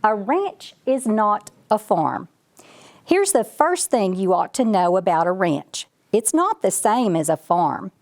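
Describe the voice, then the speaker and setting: A self-important ranch dog from a children's storybook, read by a woman. The delivery is unhurried, with pitch that moves up and down a lot as the dog brags and explains things to the listener in a folksy, chatty way. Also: big pitch swings, darkish tone. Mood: folksy explaining. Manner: bragging, chatty, addressing the listener directly.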